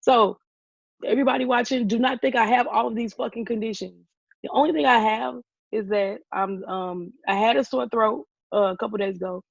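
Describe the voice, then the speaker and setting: Hoarse woman pushing her voice on a call. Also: fairly high pitch, brisk, dry recording. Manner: talks loudly to push past a weak voice, with frequent ums. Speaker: podcast co-host. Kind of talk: casual podcast chat. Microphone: video call. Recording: dull lo-fi video call audio.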